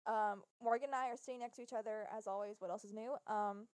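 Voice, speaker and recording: A young woman co-hosting a casual podcast, chatty and quick to laugh. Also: mid-range voice, fairly even intonation, dry recording, studio quality. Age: young adult. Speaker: podcast co-host. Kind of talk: casual chat.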